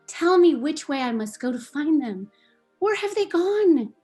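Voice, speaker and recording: A woman reading the part of a lonely female unicorn searching for others of her kind, in a slow, wistful way. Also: high-pitched, big pitch swings, mellow, faint music behind. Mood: pleading. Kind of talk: play table read.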